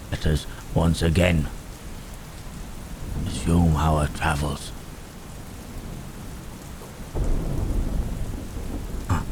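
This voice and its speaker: A brooding, protective monster, acted by a man in an ASMR roleplay, with a very low voice and slow, halting delivery. His phrasing is formal and old-fashioned, thoughtful and gentle. Rain and thunder sound clearly behind him. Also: background noise. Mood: tender.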